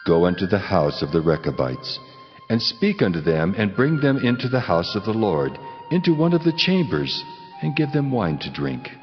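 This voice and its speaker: A man voicing a grave, commanding God in a dramatized scripture reading, measured in delivery. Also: on the low side, big pitch swings. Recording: long cavernous reverb, dull, music behind.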